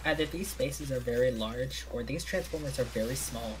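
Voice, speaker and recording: A man's voice: medium pitch, normal speed, slight background noise, poor audio. A man chatting casually as co-commentator over gameplay with dry, understated teasing.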